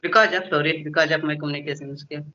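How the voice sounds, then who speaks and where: A man's voice: a little high, steady tone, quick pace, sharp tone, lo-fi sound. A man with an Indian English accent, a native Hindi speaker, practicing English in casual conversation, hesitant with many fillers and frequent laughs. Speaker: English learner, conversation partner.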